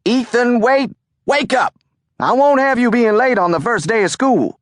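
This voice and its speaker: A man voicing a stern older woman housekeeper, calling out with sharp commands. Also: very high-pitched, measured pace, no room sound, studio quality, voice pushed up. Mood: scolding.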